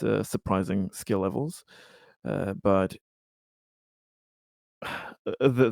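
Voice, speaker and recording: Man playing a weary, halting, slow-spoken colony officer. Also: on the low side, gravelly, a little mellow, clear, resonant tone, dry recording, clean studio sound. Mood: concerned, gentle. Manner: halting, grave, earnest, sighing. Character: weary, haunted male colony officer consoling a colleague. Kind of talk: improvised tabletop roleplay dialogue.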